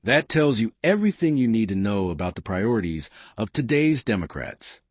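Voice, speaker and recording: Guy doing political commentary voiceover, lively low voice, dry recording. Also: moderate pace, dry recording. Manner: partisan, emphatic delivery. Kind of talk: scripted commentary.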